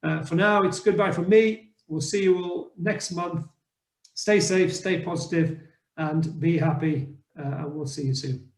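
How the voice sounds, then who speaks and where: A middle-aged man hosts a podcast over a video call from his living room. His voice is a bit high for a man and rings around a roomy space. He talks in a friendly, easygoing way. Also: measured pace. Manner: friendly, laid-back, says "listen" and "mate". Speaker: podcast host. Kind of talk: casual interview hosting. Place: home living room, indoors.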